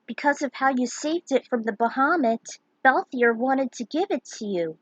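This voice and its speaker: A woman's voice: high voice, animated, moderate pace, husky, no room sound, pitched above the performer's own voice. A woman acts out an earnest young woman in an adventure story. She is a caring old friend, recorded dry and close.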